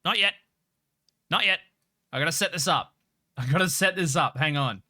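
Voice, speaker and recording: Bright-voiced woman streamer, dry close mic. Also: low-pitched, animated, normal speed, no echo. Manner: profane, repetitive, self-narrating. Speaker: game streamer. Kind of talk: casual livestream commentary.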